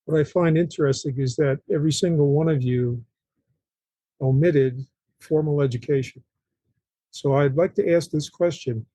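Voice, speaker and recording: Husky man asking short interview questions. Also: a little high, normal speed, mellow, dry recording. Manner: brief, prompting questions.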